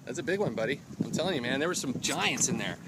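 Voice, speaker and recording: Excitable fishing guide over roaring wind. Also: male voice, medium pitch, fast talker. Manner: excitable, exclaiming, coaching the angler. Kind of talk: excited on-the-water commentary. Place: small boat on open sea, windy outdoors. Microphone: camera on the boat. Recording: loud wind noise on a boat.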